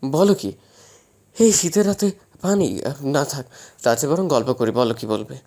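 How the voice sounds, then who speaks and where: Casting: actor as bemused new husband for romantic drama; medium pitch, weary, gently protesting, gives in easily. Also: male voice, big pitch swings, normal speed. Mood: tired, bemused. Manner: hesitant, mildly protesting, indulgent. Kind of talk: romantic audio story acting.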